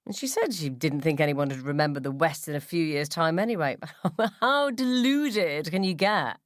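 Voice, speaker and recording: A woman's voice: sits low, animated, normal speed, dry recording, studio quality. A woman playing a scornful, gossipy mother at the school gates in a radio story, with an emphatic, mocking drawl. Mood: mocking incredulity.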